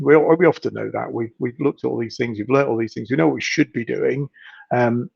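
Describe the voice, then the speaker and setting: A man with a gravelly, husky voice who is losing it a little and keeps clearing his throat. He talks as a guest in a casual video-call chat, thoughtful, circling back and repeating words as he works out his point. The sound is dry and dull, like web-call audio. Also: medium pitch, a little mellow, no echo. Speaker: hypnotist guest. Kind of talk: casual live-stream chat.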